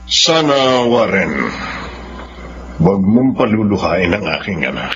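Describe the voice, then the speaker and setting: A man acting a gracious, protective middle-aged father in a radio drama, measured and solemn. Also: lowish voice, very animated, fairly bright tone, slight background noise, heavily acted. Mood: solemn, approving. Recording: dull lo-fi audio with music.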